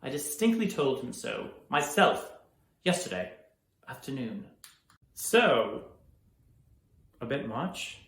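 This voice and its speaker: A man playing an indignant, pompous English gentleman from a Victorian stage comedy, speaking formally. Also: medium pitch, very animated, slow pace, vocal fry, long pauses, full character voice. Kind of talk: theatrical comic monologue. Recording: echoey room.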